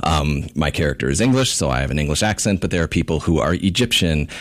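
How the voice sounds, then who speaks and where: A man's voice: extremely low pitch, animated, quick pace. A man talking as an actor guest on a radio interview, friendly and laughing often, with frequent restarts.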